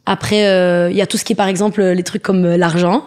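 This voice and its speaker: A woman with a Québécois French accent chatting casually, relaxed and slangy with many fillers. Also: lowish voice, fast talker. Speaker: co-host. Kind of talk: casual chat.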